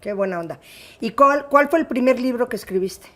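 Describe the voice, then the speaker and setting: A woman's voice: fairly high pitch, brisk. A woman with a Mexican accent hosting an interview, chatty and prompting her guest with "oye" and "¿no?". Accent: Mexican Spanish. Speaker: interview host. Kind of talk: friendly conversational interview.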